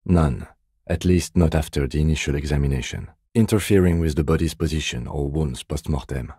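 A meticulous male police detective from a video game, with an extremely low, mellow voice. He is deadpan and restrained, measuring out each remark with dry sternness. The voice is slightly husky. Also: a little fast, no room sound. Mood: clinical, dry. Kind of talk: scripted game dialogue.

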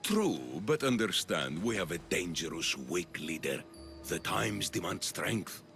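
An actor playing a scheming, grandiose populist politician of ancient Athens in video game dialogue, with a gravelly, oratorical delivery. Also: male voice, low-pitched, big pitch swings, measured pace, a bit bright, soft background music, full character voice. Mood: insinuating.

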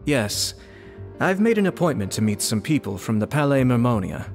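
An actor voicing a courteous, composed young nobleman in a video game, speaking in a formal, measured way. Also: medium pitch, big pitch swings, in a large hall, faint music behind. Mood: polite, calm.

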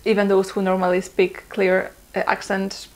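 A woman's voice: mid-range voice, completely flat delivery, normal speed. A Polish woman in her twenties with a Polish accent, answering viewer questions in a casual vlog from her living room, chatty and laughing often, with plenty of ums and uhs. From Poland. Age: 20s. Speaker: vlogger.

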